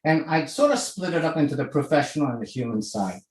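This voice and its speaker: Man, 60s, from Hong Kong, conversational presenter, animated pitch, roomy echo. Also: fast. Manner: conversational, frequent "okay?" check-ins. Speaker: speaker. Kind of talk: presentation to a virtual audience. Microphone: video call.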